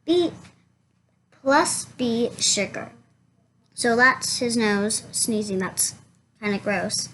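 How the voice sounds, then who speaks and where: A woman reading a children's picture book aloud, haltingly, stumbling over words and rereading them. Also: on the high side, lively intonation, a bit bright, slight background noise. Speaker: storybook reader.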